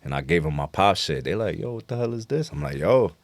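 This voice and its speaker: Deep-voiced New York man, slangy talker. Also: expressive, fast, dry recording. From New York City. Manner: blunt street slang, frequent affirmations. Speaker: interview guest, rap artist. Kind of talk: casual conversational interview, slangy.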